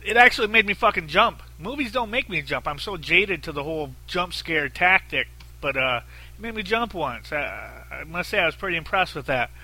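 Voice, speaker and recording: A man in his thirties hosts a solo podcast with a very nasal, bright, sharp-edged voice that sits a little high for a man. He talks bluntly, swears freely and rambles with lots of fillers. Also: animated, normal speed, poor audio. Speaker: podcast host. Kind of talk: casual solo podcast talk.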